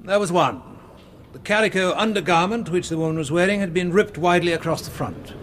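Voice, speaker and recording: Casting: measured period doctor on the stand, radio drama, precise, formal, voice a little high. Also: male voice, fairly bright tone, slight background noise. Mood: grave. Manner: careful, precise. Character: measured, formal male post-mortem doctor as witness.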